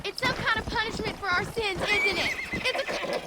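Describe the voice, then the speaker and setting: Very high-voiced, frightened frontier girl. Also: moderate pace, very noisy. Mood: anxious, horrified. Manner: anxious, plaintive. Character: frightened, guilt-ridden young frontier woman. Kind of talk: video game cutscene acting.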